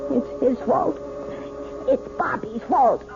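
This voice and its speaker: An actress in a 1970s radio drama playing a hypnotized woman speaking as a troubled, childlike little girl, halting and repeating words. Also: high-pitched, vocal fry, exaggerated voice. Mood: resentful, sulky. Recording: muffled old radio broadcast with music.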